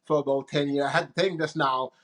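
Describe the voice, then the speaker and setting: A man from the Bahamas, probably in his thirties, chatting as an interview guest in a relaxed, rambling way with frequent restarts. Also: fairly high pitch, fast talker. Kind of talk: casual interview chat.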